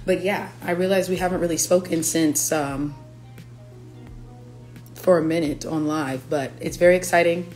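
A young woman, a singer-songwriter, chatting playfully on a livestream from her living room, her voice raspy from allergies. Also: low voice, fairly even intonation, normal speed, long pauses, a little mellow, soft background music. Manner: chatty, playful, breaks into lyrics. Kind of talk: casual livestream chat. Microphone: phone camera at arm's length.

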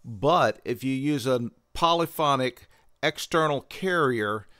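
A man hosting a synthesizer tutorial, explaining gear in a measured, methodical way on a close microphone. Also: medium pitch, smooth, no room sound. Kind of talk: explanatory product tutorial narration.

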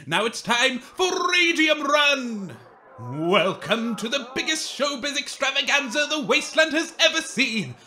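A man's voice: very high-pitched, big pitch swings, a little slow, pushed and bright, heavily acted. A man playing a showy, grandiose wasteland game show host in a comedic radio play.

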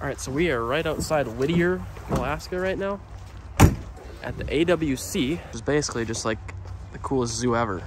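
A man's voice: mid-range voice, normal speed. A young American man narrating a casual travel vlog in a folksy, playful way. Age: young adult. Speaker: vlogger. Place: in and around a motorhome on the road. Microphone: handheld camera at arm's length. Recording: noisy handheld outdoor and vehicle audio.

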